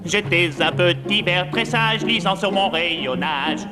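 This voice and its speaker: A man's voice: high-pitched, unhurried. A man voicing a cheerful, bookish little adventurer worm in a cartoon theme song. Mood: cheerful, singing. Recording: loud music over the voice.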